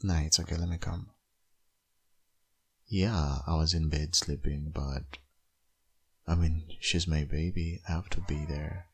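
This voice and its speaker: Man acting as deep, gravelly, sleepy caring dad. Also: fairly even intonation, measured pace, pauses often, heavily acted. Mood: calm, reassuring. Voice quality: low, gravelly, husky. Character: caring young father, sleepy ex-boyfriend, gentle and soothing. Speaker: ASMR roleplay performer. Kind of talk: boyfriend ASMR audio roleplay.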